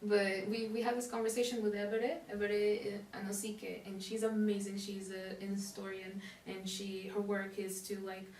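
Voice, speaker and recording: A woman's voice: mid-range voice, flat delivery, normal speed, few pauses, darkish tone. A woman from Portugal hosting a casual interview, wandering through her thoughts with many fillers and asides. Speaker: host. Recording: roomy echo, distant mic, faint hiss.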